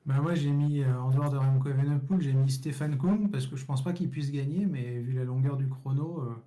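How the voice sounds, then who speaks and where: A man's voice: mid-range voice, steady tone, fairly quick, dark tone. A man co-hosting a cycling podcast, giving frank opinions in a casual, repetitive way. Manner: opinionated, frank, repeats words as he goes.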